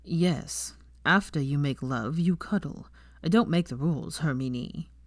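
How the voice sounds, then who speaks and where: A woman voicing a tender, determined, teasing young man in love, speaking softly and intimately. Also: measured pace, no echo. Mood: playful, tender. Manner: soft, low, intimate.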